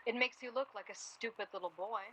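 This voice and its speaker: Woman acting a blunt young city student, bright voice. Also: fairly high pitch, fast talker, a little hiss or hum, lightly acted, poor audio. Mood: teasing, sardonic. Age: young adult. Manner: blunt, dryly needling. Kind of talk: naturalistic radio drama acting, period piece.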